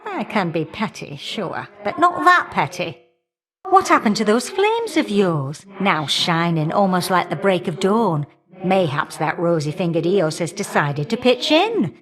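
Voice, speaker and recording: A woman's voice: fairly low pitch, fairly bright tone. A woman voicing a feisty, folksy elder goddess of flame in a video game, sassy and affectionately teasing. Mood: wry, chummy. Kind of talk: video game character dialogue.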